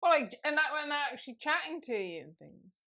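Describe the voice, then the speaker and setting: Giggly high-voiced woman. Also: sing-song, pushed and bright. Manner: giggly, quick reactions. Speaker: podcast co-host. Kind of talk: casual chat.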